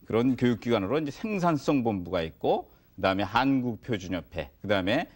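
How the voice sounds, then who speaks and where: A man's voice: medium pitch, very animated, brisk. A man giving explanatory answers as an expert in an interview.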